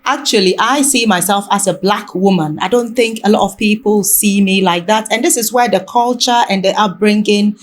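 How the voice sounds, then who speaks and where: A woman talking reflectively in conversation, haltingly and often rephrasing mid-sentence. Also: mid-range voice, a little hiss or hum. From Ghana.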